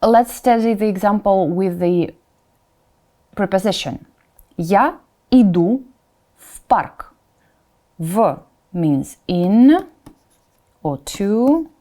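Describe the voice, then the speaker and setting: Female language tutor, soft dark timbre, unhurried delivery, clear modelling of words with informal asides to learners. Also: mid-range voice, animated. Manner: instructional, repeats example words slowly and distinctly; informal asides, checks in with "right?". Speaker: Russian language teacher. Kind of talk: pronunciation lesson addressed to learners.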